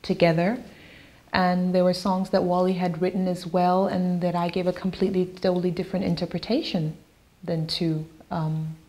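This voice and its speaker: A woman whose first language is Yoruba, a singer-songwriter talking thoughtfully in an interview, pausing with fillers and restarts. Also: on the low side, warm tone. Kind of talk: interview talk.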